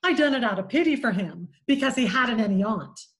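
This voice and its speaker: A woman voicing a cheeky, mischievous young boy who talks back quickly. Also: on the high side, expressive, close to an everyday voice.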